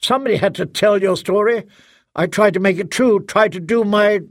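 A man's voice: high-pitched, no echo, clean studio sound, close to an everyday voice. Man reading as a hesitant, defensive father. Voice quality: hesitant, halting. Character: defensive, helpless middle-aged father, a writer. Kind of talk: audiobook character acting.

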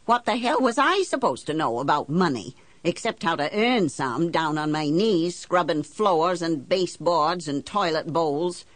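A woman's voice: low voice, animated, a little slow, hardly any pauses, no echo. A woman playing a blunt, embittered working-class island housewife who tells her story in folksy, ungrammatical country talk for an audiobook. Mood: weary, resentful. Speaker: audiobook narrator. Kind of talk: first-person storytelling in character.

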